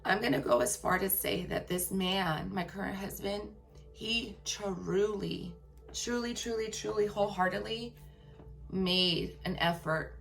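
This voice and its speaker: A woman vlogger tells her own story straight to her viewers, frank and emphatic, often pausing to check in with them. Her voice is gravelly and worn raspy from a long day. Soft music sits faintly underneath her. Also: mid-range voice, steady tone. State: voice getting raspy after a long workday. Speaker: vlogger telling a personal story. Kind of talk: candid personal storytelling to viewers.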